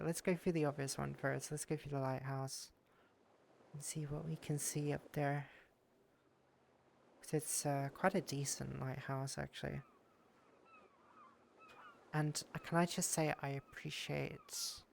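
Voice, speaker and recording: Stuffy-voiced man streaming, flat and gravelly. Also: fairly high pitch. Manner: rambling, thanks viewers, muses aloud. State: stuffy with a cold. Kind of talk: casual streaming chat while exploring a game world.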